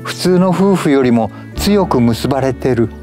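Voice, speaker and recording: An elderly man voicing a weary, tender older wife in a story reading, gentle, feeble and heartfelt. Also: medium pitch, animated, unhurried, faint music behind, voice pushed up, natural voice. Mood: moved, joyful.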